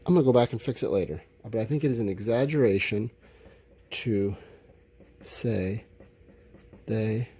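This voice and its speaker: A man's voice: lowish voice, normal speed, pauses often, mellow, husky. A man teaching a school lesson, talking informally and explaining as he writes out answers, drawing out his words. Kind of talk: instructional lesson, thinking aloud while writing answers.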